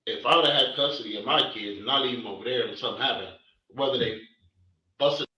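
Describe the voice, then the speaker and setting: A man's voice: medium pitch, fast talker, sharp tone, highs cut off. A man with a stuffed-up, congested voice, talking in a rambling way full of fillers. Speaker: interviewee. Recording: echoey far-off archival clip.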